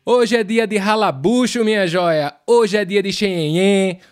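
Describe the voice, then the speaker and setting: A man's voice: high voice, measured pace, faint music behind. A man with a northeastern Brazilian accent co-hosting a podcast in casual, playful slang full of pet names. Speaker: podcast co-host. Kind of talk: casual, slangy music show chat.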